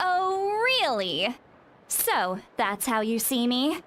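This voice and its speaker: A woman's voice: fairly high pitch, big pitch swings, slow pace, fairly bright tone, heavily acted. An actress playing a haughty, teasing, young-looking elder woman in a video game, with theatrical, sardonic phrasing. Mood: mock offended.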